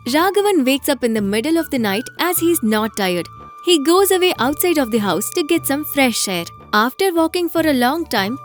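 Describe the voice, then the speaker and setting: Higher-pitched woman narrating a story.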